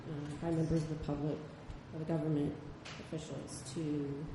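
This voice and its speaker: A soft-spoken woman photojournalist answering a panel question, hesitant and full of ums. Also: deep voice, flat delivery, normal speed. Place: gallery event space. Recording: echoey room, far mic, background noise.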